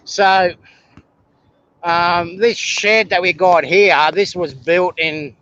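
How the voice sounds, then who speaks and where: A man's voice: high-pitched, steady tone, slow, fairly bright tone, clear, resonant tone, dry recording, lo-fi sound. A man, hosting a live stream outdoors and casually explaining local rail history as he walks. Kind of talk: casual walking tour chat. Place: outdoors near a rail yard.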